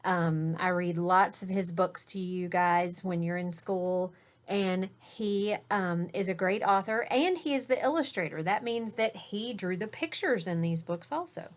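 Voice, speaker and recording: Slow, gentle woman teacher reading aloud. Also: fairly low pitch. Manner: explains words and points out pictures, drops to a whisper. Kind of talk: reading a picture book aloud to children.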